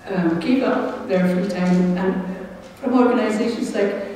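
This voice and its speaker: A woman's voice: mid-range voice, measured pace, dark tone, far from the mic, a little hiss or hum. A woman, a former teacher, telling a rambling anecdote with many ums during a seminar discussion. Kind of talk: discussion comment. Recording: echoey hall, recorded from afar.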